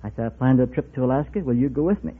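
A man's voice: medium pitch, very fast. A man in his sixties giving short, plain answers as a contestant on an old radio quiz. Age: 60s. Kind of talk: terse answers to a host. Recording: noisy, narrow-band old radio recording.

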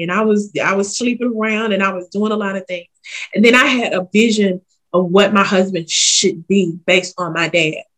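Candid woman in her forties. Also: medium pitch. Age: 40s. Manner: lively, talks straight from the heart, calls listener honey. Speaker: ministry leader, guest. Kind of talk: casual interview chat.

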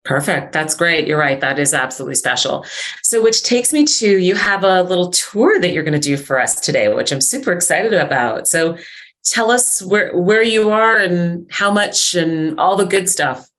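A woman hosting a video interview, upbeat and eager, answering her guest with quick affirmations. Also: lowish voice, expressive, fairly bright tone. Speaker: interview host.

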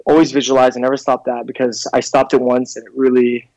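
A man's voice: medium pitch, little pitch movement, fast, darkish tone, no echo, highs cut off. A man in his thirties from Canada, a clothing brand founder, telling his story in a casual interview with many fillers and restarts. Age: 30s.

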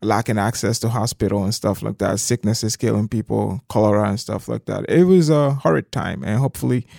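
Man, fairly low pitch, dry close sound, conversational interview guest. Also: a little fast, creaky, warm tone, no echo. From southeastern Liberia. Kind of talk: conversational interview, explaining history.

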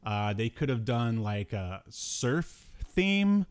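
A man's voice: medium pitch, sing-song, takes it slowly, smooth. A man co-hosting a podcast, chatting casually about cartoons in a rambling way with bits of slangy talk. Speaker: podcast co-host.

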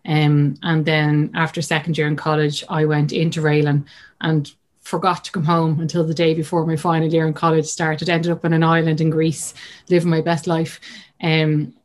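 A woman's voice: sits low, flat delivery, moderate pace, a little mellow. A woman in her thirties with a Dublin accent, chatting casually as an interview guest and acting out remembered conversations. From Ireland. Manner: chatty, many fillers, acts out quoted dialogue. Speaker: guest coach.

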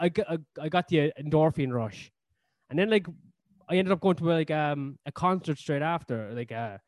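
A man's voice: fairly high pitch, a little mellow, no room sound. A man with a Cork Irish accent, a comedian telling stories in a casual interview, rambling with lots of fillers and casual swearing. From Ireland. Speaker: comedian guest.

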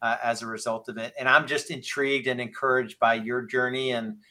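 Male podcast host, 50. Bright, forceful tone, medium pitch, earnest halting delivery, conversational interview style. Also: level tone.

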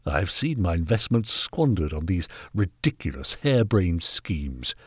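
A man's voice: sits low, sing-song, unhurried, breathy edge, dry recording, clean studio sound, full character voice. A man voicing a haughty, bullying Victorian knight in a storybook reading, pompous and scornful. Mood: contemptuous, angry. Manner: pompous, forceful, contemptuous. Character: haughty, callous, bullying Victorian gentleman knight, angry benefactor. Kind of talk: dramatised audiobook character reading.

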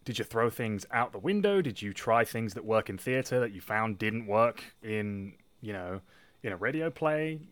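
Lowish guy hosting a chatty podcast, informal, jokey about himself. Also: animated, normal speed, no echo. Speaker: podcast host. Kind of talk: casual chat.

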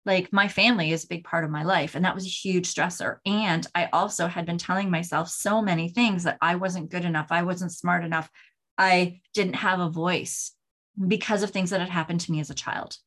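A woman in her forties talks on her own podcast in a candid, rambling way. Her voice sits a little low. She keeps restarting and filling her sentences, and her pace swings between slow and hurried. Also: steady tone, fairly bright tone. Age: 40s. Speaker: podcast host. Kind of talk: casual solo podcast talk.

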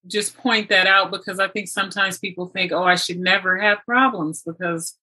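A woman's voice: mid-range voice, fairly even intonation, moderate pace, bright, forceful tone, husky. A woman hosting a conversational interview about faith, speaking in an affirming, agreeable way. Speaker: host interviewing a guest.

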